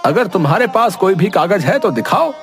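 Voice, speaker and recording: A man's voice: high voice, very animated, moderate pace, soft background music. Man as a crooked, officious village headman. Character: crooked, bribed village headman passing judgment. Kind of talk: animated moral-story dialogue.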